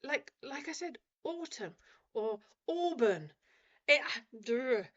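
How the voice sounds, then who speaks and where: A woman's voice: high voice, takes it slowly. Woman, radio host, casual ranting chat, laughs easily, slightly muffled sound. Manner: animated, ranting, laughs often. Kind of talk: casual chatty radio talk. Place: radio studio.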